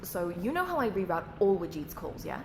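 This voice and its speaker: A woman's voice: on the low side, very animated, a little fast, roomy sound, heavy background noise. A woman playing a sly, gossipy office colleague in an audio roleplay, blunt and conspiratorial.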